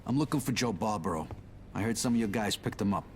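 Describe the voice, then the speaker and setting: Brief: a man voicing a game mobster, tough and wary, animated delivery. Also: fairly low pitch, fast, slight background noise, close to an everyday voice. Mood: tense. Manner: streetwise, tense. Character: young male mobster, tough but wary.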